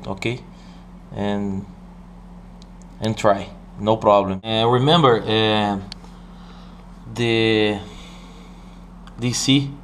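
A man from Brazil with a Brazilian Portuguese accent, walking through a hardware setup in halting English, stopping often to ask "okay?". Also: lowish voice, slight background noise. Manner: halting, with many "uh" and "okay?" checks. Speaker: hobbyist showing a hardware setup. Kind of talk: hands-on tutorial walkthrough. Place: indoor room at a desk.

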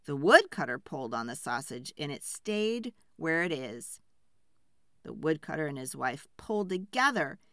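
A woman reading a children's storybook aloud in an expressive, deliberate storytelling style. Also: fairly low pitch, dry recording, clean studio sound.

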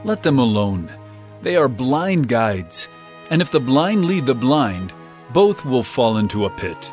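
A man's voice: mid-range voice, very animated, a little slow, darkish tone, soft background music. An actor playing an authoritative, reproving male teacher in a dramatized scripture reading, with emphatic, declamatory delivery. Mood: earnest teaching.

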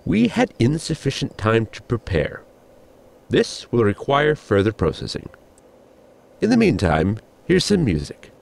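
A man playing a formal, pedantic science officer in a sci-fi comedy audio drama, with a gravelly voice and precise technical wording. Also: mid-range voice, huge pitch swings, a little slow, a little mellow, exaggerated voice. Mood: matter-of-fact.

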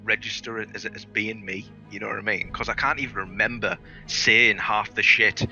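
A man's voice: lowish voice, fairly even intonation, moderate pace, bright, forceful tone, muffled recording, soft background music. A man telling stories and bantering over gaming voice chat in a sweary, theatrical, bombastic way. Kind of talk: animated casual gaming banter and storytelling.

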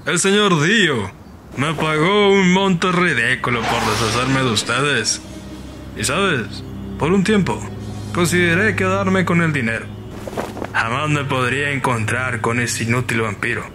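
A man's voice: mid-range voice, a little slow, lightly acted. A man playing a smug, taunting mercenary gunslinger in a parody anime dub. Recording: music behind the voice.

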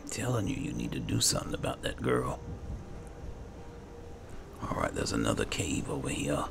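A man giving roleplay gameplay commentary, muttering and thinking aloud in a gravelly voice. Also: very deep voice, huge pitch swings, normal speed. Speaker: gaming channel host. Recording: clear background game noise.

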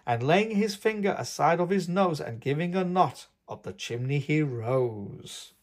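A man reads a storybook to young children in an expressive, singsong way. His voice sits a little high and swoops widely in pitch as he tells the tale. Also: unhurried. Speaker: host of a children's read-aloud channel.

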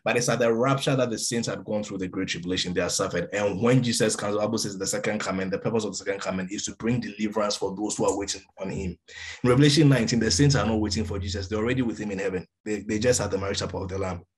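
A man's voice: fairly low pitch, very fast, poor audio. A man in his forties giving emphatic Bible teaching over a video call from a room at home. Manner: emphatic, gestures while teaching. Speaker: preacher. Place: room with a window, indoors. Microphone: laptop webcam on a video call.

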